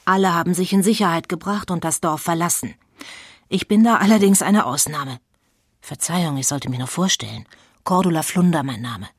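Nosy newspaper reporter, raspy low voice, suspenseful narration, dry sound, children's radio drama. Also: female voice, normal speed, dry recording. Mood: brisk. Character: forward, nosy female newspaper reporter narrating a spooky adventure.

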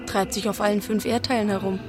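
An actress as an observing narrator, delivering scripted radio play narration. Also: female voice, medium pitch, fairly even intonation, fast talker, lightly acted. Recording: music behind.

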